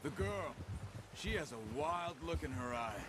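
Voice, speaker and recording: An actor playing a calm, watchful, pragmatic outlaw hunter in a video game cutscene, speaking in a measured, matter-of-fact way. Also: male voice, on the high side, noisy background. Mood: wary, dry.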